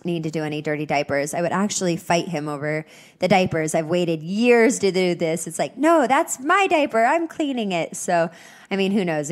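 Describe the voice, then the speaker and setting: Congested woman chatting on a podcast. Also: on the low side. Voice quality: slightly nasal. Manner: chatty, upbeat, rambling with fillers. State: congested from a cold. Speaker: podcast host. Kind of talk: casual solo podcast chat.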